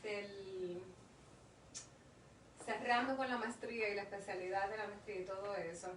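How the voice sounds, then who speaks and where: A woman's voice: medium pitch, fairly even intonation, normal speed, a bit bright. A woman with a Dominican accent speaking as an interview guest, earnest and emphatic, often repeating words for stress. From Dominican Republic. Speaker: interview guest, industrial engineer. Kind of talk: casual interview conversation. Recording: echoey room, distant mic, noisy.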